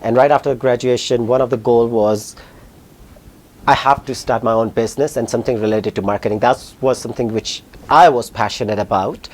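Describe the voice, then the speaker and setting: A man in his thirties from Pakistan with a Pakistani accent, answering interview questions in a fast, animated way. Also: mid-range voice. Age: 30s. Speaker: guest, company founder.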